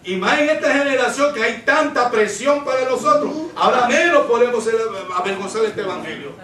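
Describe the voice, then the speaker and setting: Puerto rican guy preaching bible study, high voice, really emphatic, repeats himself, roomy echo away from the mic. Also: expressive, brisk, pushed and bright. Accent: Puerto Rican Spanish. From Puerto Rico. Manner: emphatic, repeats phrases, asks the congregation rhetorical questions. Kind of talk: Pentecostal Bible-study sermon. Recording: roomy echo, distant microphone.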